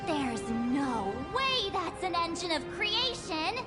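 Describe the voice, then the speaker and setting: A woman voicing a perky, excitable teenage adventurer girl in an anime-style video game, bubbly, playful and teasing. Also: extremely high pitch, slow, exaggerated voice. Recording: game music behind the voice.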